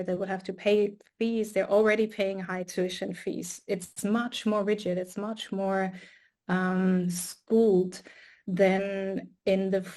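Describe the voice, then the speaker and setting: A woman's voice: medium pitch, darkish tone. Woman talking over a video call. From Austria. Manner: thoughtful, with frequent restarts and fillers. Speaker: astrophysicist and artist guest. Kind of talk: casual conversation.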